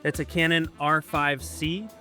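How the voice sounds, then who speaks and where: A man's voice: a little high, fairly even intonation, a little slow, pushed and bright, soft background music. A man patiently explaining camera basics outdoors at dusk, in a casual, hesitant way full of ums and uhs. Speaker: photographer teaching a guest.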